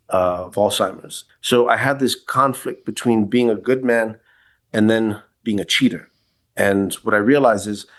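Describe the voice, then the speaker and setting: A man in his forties from New York City speaks as a podcast guest, with a lowish voice. He talks candidly and reflectively, often restarting his sentences as he goes. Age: 40s. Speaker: podcast guest, kink educator. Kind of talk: casual interview, personal story.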